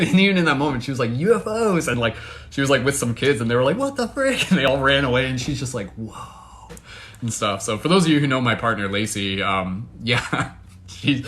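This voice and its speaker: Chatty Canadian man livestreaming. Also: fairly low pitch. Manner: chatty, filler-heavy, excitable. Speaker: livestream host. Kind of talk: casual livestream chat to viewers.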